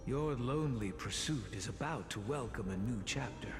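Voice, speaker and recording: An actor playing a calm, gentle old sage who mentors a former pupil, speaking in a measured, solemn way. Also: male voice, medium pitch, natural voice. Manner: measured, solemn, blessing-like speech. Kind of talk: video game voice acting. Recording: roomy hall reverb with game music.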